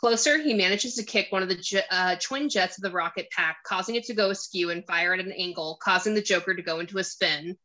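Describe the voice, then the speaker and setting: Bright, forceful female narrator. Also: fairly low pitch, fast talker, few pauses, rough recording. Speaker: narrator reading stage directions. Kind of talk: scripted screenplay narration.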